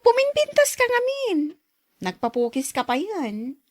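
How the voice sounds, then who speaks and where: A woman playing a nosy, outspoken young friend in an audio drama, with an animated, teasing manner. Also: extremely high pitch, unhurried, no echo, studio quality, full character voice. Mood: playful prying.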